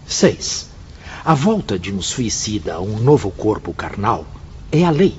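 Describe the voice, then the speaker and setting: An actor playing an earnest spirit pupil who reads precepts formally and emphatically for a radio drama. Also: male voice, on the low side, sing-song, unhurried. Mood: stern. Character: Mário, earnest, obedient male spirit pupil reading precepts. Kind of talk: solemn radio drama recitation. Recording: dull recording with faint background noise.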